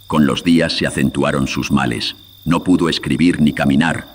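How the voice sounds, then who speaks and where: A man's voice: very deep voice, steady tone, moderate pace, slight background noise. A man narrating a scripted horror story in a solemn, ominous manner, his voice processed with filters. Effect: voice processed with audio filters.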